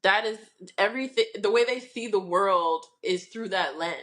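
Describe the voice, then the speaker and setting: A woman's voice: mid-range voice, a bit bright. Thoughtful woman co-hosting a chat. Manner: agreeable, thoughtful, measured. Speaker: podcast co-host. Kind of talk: casual chat.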